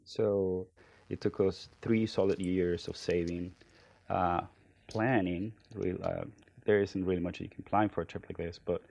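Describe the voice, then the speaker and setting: A man from Venezuela, a podcast guest, talking in a relaxed, hesitant way with many pauses and fillers. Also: deep voice, gravelly. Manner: hesitant, many ums and uhs, soft trailing phrases. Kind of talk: relaxed conversational interview.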